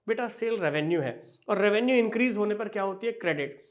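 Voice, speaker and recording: A man teaching accounting in a classroom lecture style. He addresses the students fondly, poses questions and then answers them himself, and slows right down when he dictates entries. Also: sits high, animated. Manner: slow, drawn-out dictation of entries.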